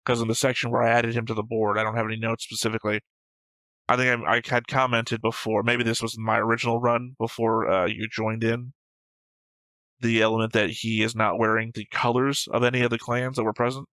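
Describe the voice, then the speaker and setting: A man's voice: medium pitch, level tone, brisk, fairly bright tone, no room sound. A man co-hosting an anime reaction show, chatting casually and unscripted in a dry, teasing way. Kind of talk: casual unscripted banter and commentary.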